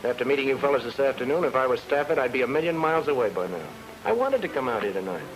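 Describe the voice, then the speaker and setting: Casting: male actor as hard-boiled radio detective, mid-pitched, reasons coolly under threat; vintage recording with music. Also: lively intonation, rapid-fire, natural voice, low-quality recording. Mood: insistent, wry. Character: cool-headed male investigator, talking his way out of danger. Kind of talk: old time radio noir acting.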